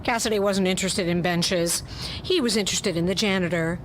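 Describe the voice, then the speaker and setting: A woman's voice: on the low side, brisk, a little hiss or hum. A woman narrating a pulp detective story as a dramatic reading.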